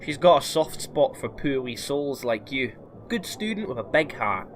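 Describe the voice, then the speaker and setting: A man's voice: mid-range voice, big pitch swings, moderate pace, a little hiss or hum, close to an everyday voice. A man playing a blunt, sweary but caring dwarf doctor with a Scottish accent in a fantasy audio drama. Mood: stern, kindly.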